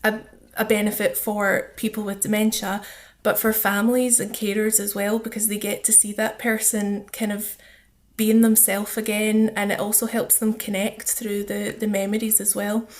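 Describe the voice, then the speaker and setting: A woman's voice: medium pitch, level tone, normal speed. A woman with a Scottish accent speaking as an interview guest, explaining things informally with examples. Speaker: charity communities officer, interview guest.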